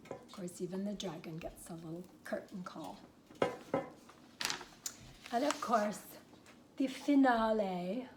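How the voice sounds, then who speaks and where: A woman's voice: medium pitch, darkish tone, slight background noise, close to an everyday voice. A woman playing a haughty theatrical actress, reading a storybook with melodramatic affectation and aloof, dry asides. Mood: dry disdain.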